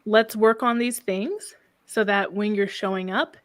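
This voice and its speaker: A soft-spoken woman business coach talking calmly in a casual interview, often ending thoughts with a questioning "right?". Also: medium pitch, measured pace. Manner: calm, reflective, frequent "right?" tags.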